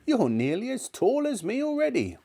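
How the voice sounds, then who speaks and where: A man acts out a wicked little old grandmother from a children's storybook. The voice is pitched very high and swings wildly up and down. This granny is bossy and scornful, snapping out every order and relishing anything disgusting. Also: huge pitch swings, normal speed, creaky, warm tone, slightly rough, full character voice. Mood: scolding, contemptuous. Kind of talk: storybook character reading.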